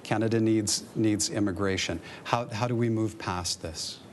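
A man in his forties hosting a TV panel in a studio, asking questions haltingly with many fillers. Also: on the low side, a little hiss or hum. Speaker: TV host. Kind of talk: moderating a panel.

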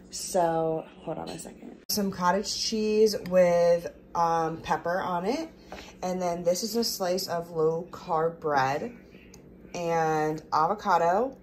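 A woman in her twenties with an American accent, chatting casually to viewers as she vlogs at home on a handheld camera. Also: fairly low pitch. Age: 20s. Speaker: vlogger. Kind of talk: casual vlog chat to viewers. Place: indoors at home, kitchen and living room. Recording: clear household background noise.

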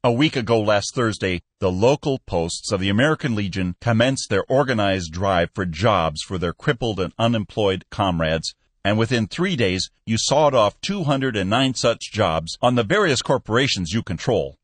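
A man's voice: on the low side, lively intonation, normal speed, dry recording. A man reading an audiobook, voicing a cold, stern male office manager who talks in a stiff, complaining way. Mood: indignant.

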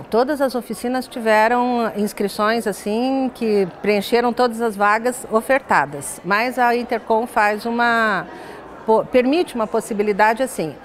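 A woman's voice: fairly high pitch, a little hiss or hum. An older woman with a Brazilian accent, explaining things as a professor being interviewed, with frequent tag words. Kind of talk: studio interview, explanatory talk. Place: glass-walled indoor lounge set. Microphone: lapel.

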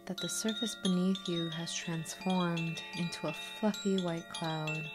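A woman guiding a meditation in a soothing, unhurried manner, leaving long pauses between short instructions. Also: on the low side, fairly even intonation, music in the background. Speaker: meditation guide. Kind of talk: guided meditation narration with slow instructions. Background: singing bowls and chimes.

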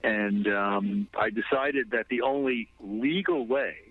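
A man's voice: lowish voice, slow pace, slightly rough, no echo. A man recounting past experience in an interview, recorded with tinny radio-like sound.

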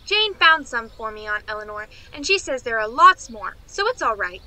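A woman's voice: on the high side, big pitch swings, normal speed, pushed and bright, full character voice, low-quality recording. A woman playing a chatty, naive young niece in a radio play, gushing and rambling in a girlish way. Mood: eager, gushing. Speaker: actress.

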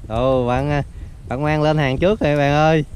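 Young Southern Vietnamese man, fishing vlog host outdoors, excited calls to his friend, faint background noise. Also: a little high, normal speed. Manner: excited, shouting instructions to a friend. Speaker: fishing vlogger. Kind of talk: casual fishing commentary. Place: outdoors by a forest lake.

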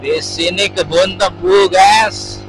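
A man's voice: sits high, steady tone, slow pace, over music, rough recording. A man narrating casually while riding a motorcycle on rural roads, talking to his viewers through a helmet-mounted camera. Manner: chatty, keeps saying "guys" to viewers. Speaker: motovlogger. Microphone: helmet-mounted action camera. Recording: road and engine noise.

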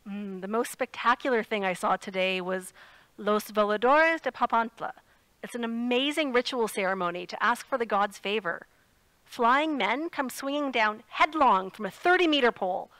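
A woman's voice: on the high side, animated, normal speed, a bit bright, no room sound, rough recording. An actress playing a spirited, playful and earnest woman radio correspondent phoning in her report in an audio drama. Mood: awed, descriptive.

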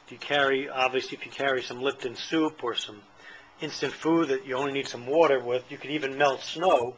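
A man's voice: medium pitch, steady tone, brisk, fairly bright tone, rough recording. A middle-aged man casually demonstrating a camping candle stove at an outdoor patio table, talking in a plain, rambling way with many fillers, recorded on a handheld camera. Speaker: channel host. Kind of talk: casual product demo.

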